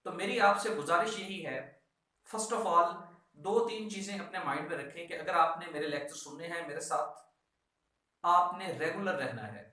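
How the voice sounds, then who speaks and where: Pakistani male lecturer, high bright voice, emphatic, repetitive instruction, English terms mixed in, distant in a heavily echoing room. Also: normal speed. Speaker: law lecturer. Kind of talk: instructional lecture for exam students. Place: echoey room with a whiteboard. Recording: very echoey room, distant mic.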